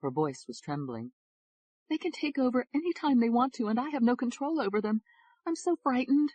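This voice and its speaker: A woman's voice: on the high side, lively intonation, quick pace, mellow, pitched above the performer's own voice. A woman voicing a fragile young woman who speaks in a trembling, fearful way. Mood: frightened.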